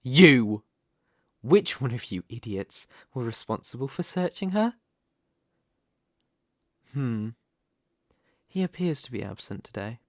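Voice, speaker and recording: Slow, smug mafia boss, acted by a man. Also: mid-range voice, very animated, warm tone, dry recording, studio quality. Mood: cold, threatening. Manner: taunting, deliberate, silky with pauses. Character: smug, menacing, possessive mafia boss toying with rival. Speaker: ASMR roleplay voice performer. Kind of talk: dramatic ASMR roleplay monologue.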